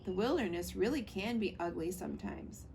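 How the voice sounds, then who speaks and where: A woman's voice: mid-range voice, animated, moderate pace, creaky, a little mellow, a little hiss or hum. A woman reading a children's novel aloud as storybook narration.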